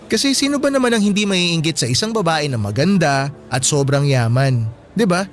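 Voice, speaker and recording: High-voiced Filipino schoolboy boyfriend played by a man. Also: expressive, moderate pace, faint music behind, lightly acted. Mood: frank, disapproving. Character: teasing, blunt, sweet teenage schoolboy boyfriend. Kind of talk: Filipino radio drama dialogue.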